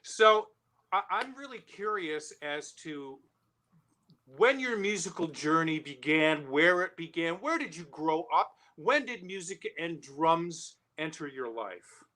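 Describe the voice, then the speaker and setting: Male show host: bright, higher-pitched voice, excited and effusive live delivery. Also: slow pace. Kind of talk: enthusiastic live show hosting and interview.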